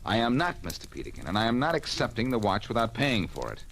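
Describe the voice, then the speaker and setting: An actor playing a confident, wisecracking amateur detective in a brisk, commanding, wry manner, in 1940s radio acting style. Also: male voice, on the low side, big pitch swings, fairly bright tone. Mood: exasperated, firm. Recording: noisy old radio drama recording.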